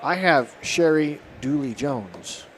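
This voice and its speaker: A man's voice: fairly high pitch, lively intonation, slow, slight background noise. A man hosting a farm podcast, introducing a guest in an upbeat, conversational way. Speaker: podcast host. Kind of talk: casual podcast interview.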